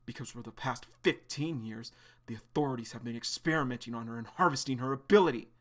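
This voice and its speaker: A man's voice: mid-range voice, fast talker, natural voice. A man voicing a vengeful, furious young man who swears bitterly.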